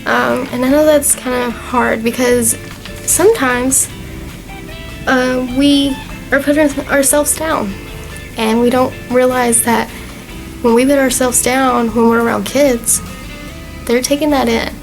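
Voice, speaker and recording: A young woman in her twenties with a slightly hoarse, fading voice, talking to camera in a chatty, earnest way with plenty of fillers. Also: high-pitched, steady tone, unhurried, pauses often, music in the background, rough recording. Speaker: vlogger. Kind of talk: casual talk to camera.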